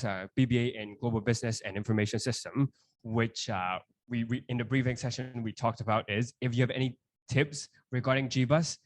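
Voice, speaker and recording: A man in his twenties co-hosting a podcast at a live university event, upbeat and quick to laugh and finish his partner's sentences. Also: mid-range voice, dry recording. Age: 20s. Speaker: podcast co-host. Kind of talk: casual chat at a live event.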